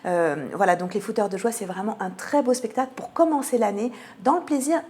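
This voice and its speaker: A woman answering in an interview as a theatre programming manager, often pausing mid-sentence as she presents a programme. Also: medium pitch, warm tone. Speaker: theatre cultural programming manager, radio guest.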